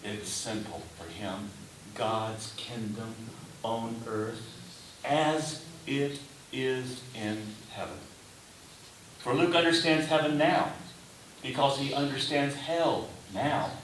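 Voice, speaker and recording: A man preaching a sermon in a deliberate way, with rhetorical questions and emphatic short phrases. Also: medium pitch. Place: reverberant indoor room before a congregation. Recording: echoey room, distant mic, faint hiss.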